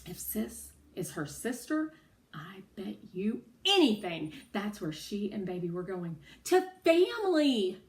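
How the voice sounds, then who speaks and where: Woman acting an excitable, bold young storybook child. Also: mid-range voice, slow pace. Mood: excited. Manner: exclamatory, dramatic.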